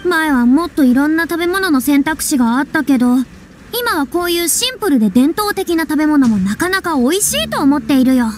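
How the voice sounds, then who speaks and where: High-voiced, haughty fallen idol. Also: female voice, animated, brisk. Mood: dry, proud. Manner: haughty, prickly, boyish phrasing. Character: proud, theatrical young woman, defensive fallen idol. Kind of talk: anime game voice acting. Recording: game music behind.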